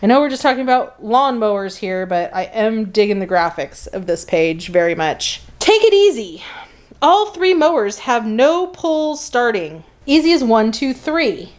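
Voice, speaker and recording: A woman with a slightly high voice talks casually as the host of a flip-through video. She moves between reading printed copy aloud and adding wry, sardonic asides in a conversational manner. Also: sing-song, measured pace. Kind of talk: casual running commentary mixed with reading catalog copy aloud.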